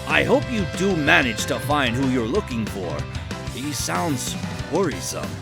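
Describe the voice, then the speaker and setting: A man voicing a gentle, timid, kindly handyman with a hesitant, polite, soothing manner in a comic dub. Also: mid-range voice, sing-song, a little slow, gravelly, music in the background. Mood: grateful. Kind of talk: animated comic dub acting.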